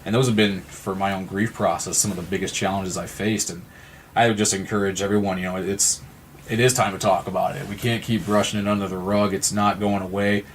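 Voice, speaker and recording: A man from Missouri with an American accent, speaking as an interview guest in an earnest, halting, reflective way. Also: sits low, little pitch movement, a little hiss or hum. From Missouri, United States. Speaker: nonprofit representative and guest. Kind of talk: conversational interview.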